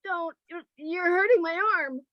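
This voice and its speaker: A woman's voice: very high-pitched, measured pace, no room sound, muffled recording. A woman playing a sheltered, romantic country spinster of thirty-five in an old-time radio melodrama, earnest and girlish. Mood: nervous, evasive.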